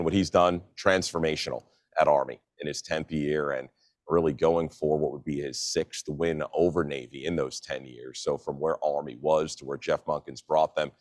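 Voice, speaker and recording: A man's voice: rumbling low, no room sound, studio quality. An American man from New Jersey, a sports broadcaster giving conversational analysis as an interview guest. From New Jersey, United States.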